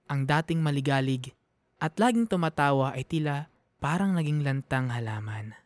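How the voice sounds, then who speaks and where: Heartfelt man narrating, slightly high voice. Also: expressive, normal speed, no room sound, clean studio sound. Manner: heartfelt, emotional. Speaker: narrator of a radio drama. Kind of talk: dramatic scripted first-person narration.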